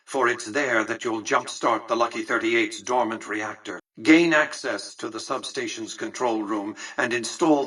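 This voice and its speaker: Imperious, husky-edged, bright-voiced old tycoon. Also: male voice, mid-range voice, recorded from a distance, low-quality recording. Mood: stern, impatient. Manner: formal, articulate, condescending, dryly superior. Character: cold, imperious old male tycoon ruling a casino city. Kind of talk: scripted video game character dialogue.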